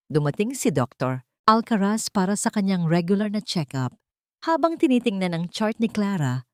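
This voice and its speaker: Expressive woman telling a story. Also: lowish voice, no room sound, studio quality. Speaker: audiobook narrator. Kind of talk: scripted story narration.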